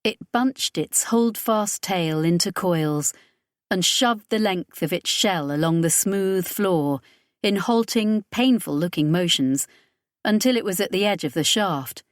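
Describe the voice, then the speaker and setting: Unhurried woman narrating, dry close sound. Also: fairly low pitch, lively intonation, no echo. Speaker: audiobook narrator. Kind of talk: scripted narration of a science fiction novel.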